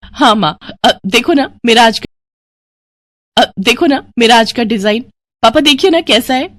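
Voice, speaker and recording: A woman's voice: fairly high pitch, animated, unhurried, fairly bright tone, no echo, studio quality. A woman voicing a cheerful young daughter who talks in a sweet, coaxing way.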